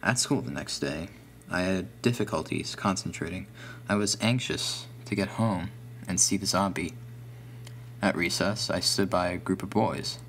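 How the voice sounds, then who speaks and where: A man reading a scripted first-person horror story in a deliberate, ominous manner. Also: sits low, fairly bright tone, rough recording. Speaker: narrator. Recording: faint hum behind voice.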